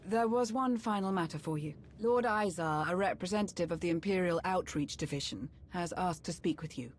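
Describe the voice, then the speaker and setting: A woman's voice: fairly low pitch, fairly quick, slight background noise, natural voice. An actress playing a loyal, efficient female military aide in a video game, formal and businesslike.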